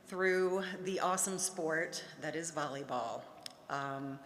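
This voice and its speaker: A woman's voice: fairly low pitch, sharp tone, slight background noise. A woman in her fifties giving a heartfelt induction speech at a podium, with light humorous asides. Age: 50s. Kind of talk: prepared ceremony speech. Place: large hall at an awards ceremony. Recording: echoey hall with faint ambience.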